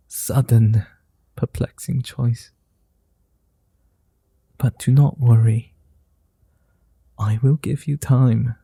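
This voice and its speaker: A courtly male vampire, played by a man, murmurs in a dark, gravelly voice that turns husky and breathy as he leans into whispers. He takes his time over every word, very slow and patient, close and dry as if right at your ear. His formal, old-fashioned manner is gentle and coaxing. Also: medium pitch, dry recording, heavily acted. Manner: soft-spoken, slow, formal, drifting into whispers. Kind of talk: ASMR roleplay.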